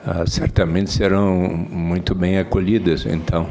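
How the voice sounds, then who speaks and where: A man's voice: deep voice, little pitch movement, moderate pace, gravelly, warm tone. A man speaking as a senator at a hearing, with a ceremonious and courteous manner of formal parliamentary address.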